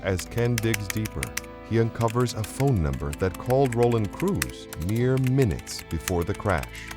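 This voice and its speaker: A man's voice: on the low side, very animated, unhurried, over music. A man giving dramatic scripted narration as the narrator of a radio play.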